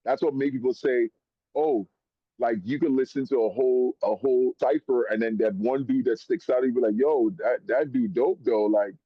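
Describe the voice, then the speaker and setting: A man from Trinidad, a rapper guest, talking in an animated, slang-heavy way in a casual chat. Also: medium pitch, normal speed, husky, no echo. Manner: animated, slang-heavy, calls host bro. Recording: dull, dry lo-fi sound.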